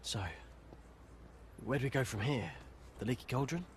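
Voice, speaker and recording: A man's voice: mid-range voice, normal speed, natural voice. A British man playing a grumbling, wary teenage wizard on the run in a video game, with noise behind him.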